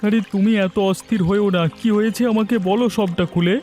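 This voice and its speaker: A man's voice: high voice, steady tone, fairly quick, dark tone, soft background music, close to an everyday voice. A man playing a caring, protective young village sweetheart, earnest and reassuring, in a cartoon story. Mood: concerned.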